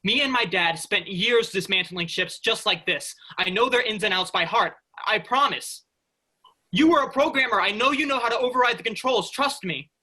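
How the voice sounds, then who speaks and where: A rebellious, cocky spaceship worker, acted by a man with a high, very bright and forceful voice. The manner is pressing and persuasive, always arguing against the robots, in a staged sci-fi play reading. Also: normal speed, full character voice, poor audio. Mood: urgent, pleading.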